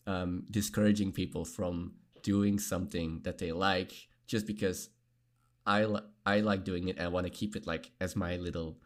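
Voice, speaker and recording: Earnest low-voiced man, chatty guest. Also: fairly even intonation, moderate pace. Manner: earnest, reflective, laughs often, frequent fillers. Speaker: podcast guest. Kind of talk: candid conversational interview.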